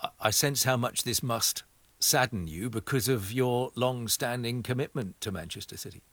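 A man's voice: medium pitch, moderate pace, a bit bright, husky, dry recording. A man presenting a live radio interview from a studio.

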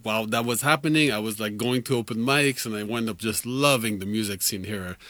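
A man in his forties with a lowish voice, a native French speaker, chatting loosely as a guest in a radio studio. He laughs easily and strings his thoughts together with lots of fillers, heard on a dry, close sound. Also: fairly quick, a bit bright, no echo. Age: 40s. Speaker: musician guest. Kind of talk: casual radio interview.

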